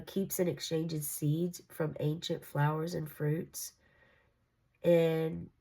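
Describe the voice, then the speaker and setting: A woman's voice: low voice, gravelly, darkish tone. A congested, nasal woman giving a casual, meandering tarot reading. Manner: meandering, reassuring, with fillers and restarts. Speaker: tarot reader.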